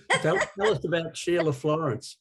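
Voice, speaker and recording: Husky-voiced male interview host. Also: a little high, moderate pace. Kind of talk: reading prepared interview questions.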